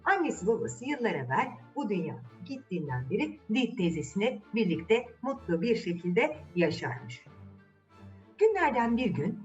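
A woman's voice: medium pitch, very animated, moderate pace, recorded from a distance, dull audio, soft background music. A woman storyteller and host telling a children's fairy tale in a chatty way, putting questions to her young listeners.

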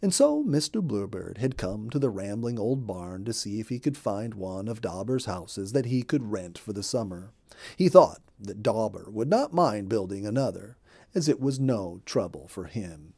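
A middle-aged man narrating a children's story in an expressive storybook manner. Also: lowish voice, moderate pace, vocal fry, slightly rough. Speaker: storyteller, audiobook reader. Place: indoor room.

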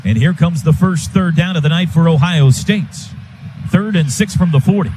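An American man works as a radio football announcer, calling plays live. His voice sits fairly high and he talks in clipped, excitable phrases. Clear stadium noise runs behind him. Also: moderate pace, rough recording. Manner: clipped phrases, excited calls rising with the action. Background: stadium ambience.

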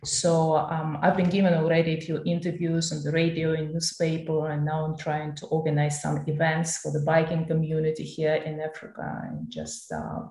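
A woman's voice: low voice, moderate pace, hardly any pauses, a little mellow. A woman in her thirties from Ukraine with a Ukrainian accent, talking reflectively and casually on a video call. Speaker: host, long-distance traveller. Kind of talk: casual conversational interview. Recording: roomy echo on a video call.

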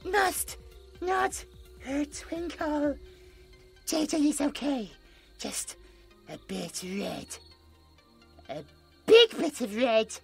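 A British man voicing a gentle, childlike possessed male creature who talks about himself in the third person with broken grammar. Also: extremely high pitch, expressive, drawn-out words, vocal fry, pauses often, soft background music, exaggerated voice. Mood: frightened, protective. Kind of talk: horror audio roleplay.

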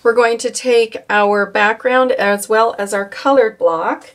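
A woman calmly walking viewers through a quilt block step by step, with occasional fillers as she works. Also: a little high, a little slow. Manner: calm, instructive, with occasional fillers. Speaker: quilting instructor. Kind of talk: step-by-step craft tutorial.

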